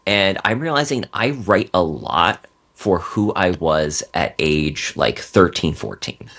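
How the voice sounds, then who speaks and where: A man's voice: sits low, animated, takes it slowly, fairly bright tone. A man speaking as a guest author on a podcast, talking articulately and conversationally about his writing. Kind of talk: casual interview talk, articulate book pitch.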